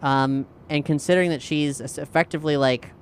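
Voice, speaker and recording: A man's voice: on the high side, steady tone, a little fast, a little hiss or hum. A man talking casually as a tabletop role-playing player, reasoning through plans aloud. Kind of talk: casual game planning chat.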